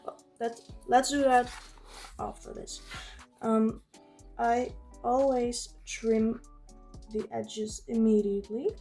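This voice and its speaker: Unhurried woman with a Dutch accent. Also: fairly high pitch, level tone, mellow, soft background music. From Netherlands. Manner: chatty, informal. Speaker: craft vlogger. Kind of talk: casual narration while journaling.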